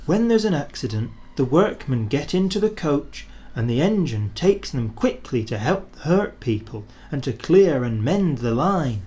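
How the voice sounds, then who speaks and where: A man's voice: fairly high pitch, very animated, unhurried, few pauses, dark tone. A man voicing a helpful engine driver in a storybook reading, explaining in a matter-of-fact way.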